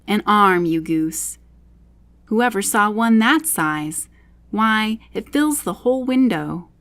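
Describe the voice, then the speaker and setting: A woman's voice: medium pitch, lively intonation, takes it slowly, a bit bright. A woman voicing an angry, impatient male rabbit master in a storybook, barking orders and scolding. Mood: exasperated, scolding.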